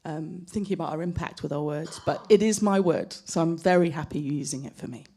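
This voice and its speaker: A woman speaking as a panelist at a live discussion, thoughtful and personal, measured in how she talks. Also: sits low, dark tone. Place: gallery event space.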